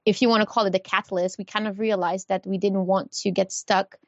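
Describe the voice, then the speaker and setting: A woman in her thirties from Haiti, a guest speaking in a relaxed, reflective way with easy laughter. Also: mid-range voice, fairly quick, no room sound. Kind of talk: conversational interview. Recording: dull dry remote line.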